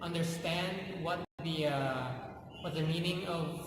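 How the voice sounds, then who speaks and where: A man answers interview questions hesitantly, with many pauses and fillers. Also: on the high side, fairly even intonation. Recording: distant mic, echoey hall, noise.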